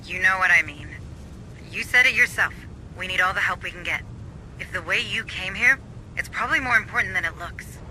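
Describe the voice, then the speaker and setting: Sharp, high-voiced squad guide. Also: male voice, fairly quick, a little hiss or hum, poor audio. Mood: earnest. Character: keen male guide advising a Marine squad. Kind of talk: video game voice acting.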